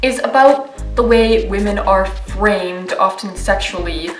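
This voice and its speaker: A woman's voice: medium pitch, unhurried, echoey room, far from the mic, faint music behind. A woman narrating a scripted video essay, with a wry, conversational manner and offhand asides.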